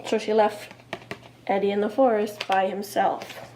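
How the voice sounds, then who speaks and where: A woman in her thirties reading a picture book aloud, expressively and deliberately, with explaining asides to young children. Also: mid-range voice, flat delivery, warm tone, slight background noise, rough recording. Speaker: children's storyteller. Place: small indoor room, seated before a cloth backdrop.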